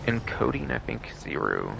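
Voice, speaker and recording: A man with a gravelly voice giving casual, rambling, repetitive video game commentary as a live streamer, often swearing. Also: mid-range voice, huge pitch swings, moderate pace, very noisy. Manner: repetitive, often repeating "but yes".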